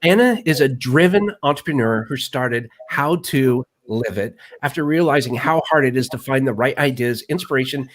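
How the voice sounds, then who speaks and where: A man hosting an interview, excitable and rambling, often restarting his sentences. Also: mid-range voice, normal speed, hardly any pauses. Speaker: podcast host.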